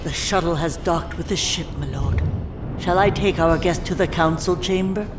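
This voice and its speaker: A low female voice , acted by a woman, as a dutiful attendant in an audio drama. She is formal, obedient and deferential, with music prominent behind her. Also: moderate pace, close to an everyday voice.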